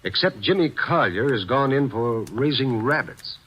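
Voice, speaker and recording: A man's voice: mid-range voice, lively intonation, very noisy, close to an everyday voice. A man playing an earnest, respectful investigator reporting to his superior in an old radio drama. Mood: serious. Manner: respectful, brisk. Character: earnest male state investigator. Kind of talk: 1940s radio acting.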